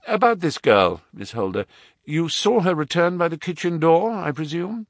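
A man reading aloud, playing a calm, precise male detective who asks measured, probing questions. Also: fairly high pitch, dry recording, clean studio sound, pitched above the performer's own voice. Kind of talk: audiobook reading.

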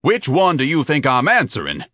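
A pompous giant of a man in an audiobook. He declaims indignantly in a booming voice that swings widely in pitch, with no room sound at all. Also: sing-song, moderate pace, a bit bright, no echo, studio quality.

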